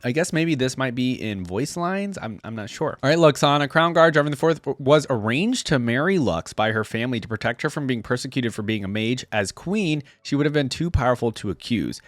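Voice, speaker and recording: A man's voice: medium pitch, dry recording, studio quality. A man hosting a reaction video, casually reading out and commenting, thinking aloud in short asides.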